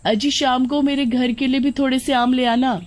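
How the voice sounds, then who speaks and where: A woman voicing a farmer's demanding, easily annoyed wife in an expressive storybook manner. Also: high-pitched, moderate pace, pitched above the performer's own voice, close to an everyday voice. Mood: eager.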